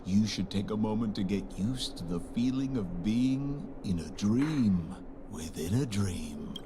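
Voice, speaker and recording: A man's voice: lowish voice, lively intonation, unhurried, noisy background. A man voicing a sly, teasing guide through a surreal dream in a video game, speaking with theatrical leisure. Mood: teasing, unhurried. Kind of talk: scripted video game dialogue.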